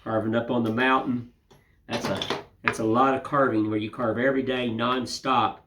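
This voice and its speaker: An older man with a raspy, hoarse voice from a cold, chatting casually and rambling as he hosts his woodcarving channel. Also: mid-range voice, flat delivery, normal speed, noisy background. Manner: rambling, homespun, folksy filler phrases. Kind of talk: casual chat to camera.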